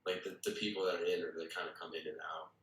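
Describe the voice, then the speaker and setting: Man, low voice, informal explainer, heavily echoing room, some distance from the mic. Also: flat delivery, quick pace, fairly bright tone. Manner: informal, repeats filler "like". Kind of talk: casual explanation.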